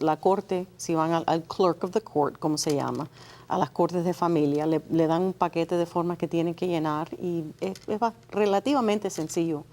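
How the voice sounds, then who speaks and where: A low-voiced woman in her sixties, born in Cuba, serving as a family court judge. She explains legal matters plainly and firmly during a television interview. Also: darkish tone. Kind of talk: TV interview answers.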